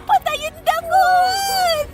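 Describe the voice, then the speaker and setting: Radio drama daughter played by a woman: hurt, plaintive Ilocano girl, very high voice, off-mic over music. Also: steady tone, extremely slow, exaggerated voice. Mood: crying, despairing. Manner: plaintive, tearful. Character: hurt, despairing young schoolgirl daughter. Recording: distant from mic, music underneath.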